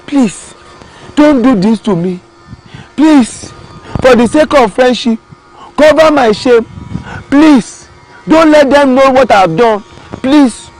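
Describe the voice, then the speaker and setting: A sly male tortoise thief from a spoken folktale, pleading and wheedling in a high, soft voice, as a Nigerian storyteller puts him on. His Nigerian accent stays plain beneath the begging, with pitch leaping around. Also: huge pitch swings, unhurried, vocal fry, faint music behind, exaggerated voice, low-quality recording.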